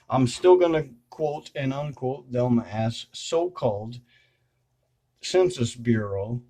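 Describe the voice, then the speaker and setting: Slow-talking man with a mellow voice. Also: mid-range voice. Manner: rambling, hesitant, repeats and corrects himself. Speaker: video creator. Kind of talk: casual unscripted monologue to viewers.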